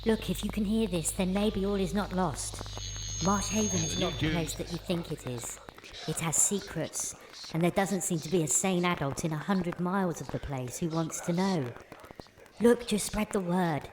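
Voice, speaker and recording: A woman's voice: fairly low pitch, moderate pace, lightly acted. A woman playing a desperate whistleblower sending out a secret warning, urgent and pleading. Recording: noisy hissing makeshift broadcast.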